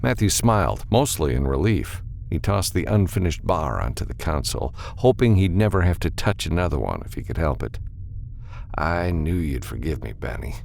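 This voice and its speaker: A man narrating fiction as an audiobook reader, with a gravelly voice and a dramatic delivery. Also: deep voice, very animated, a little fast, hardly any pauses, a little mellow, slight background noise.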